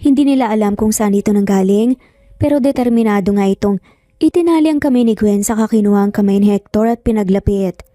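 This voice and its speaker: A woman's voice: medium pitch, fast, mellow, dry recording, studio quality, soft background music. A woman narrating a dramatized romance story as a storyteller.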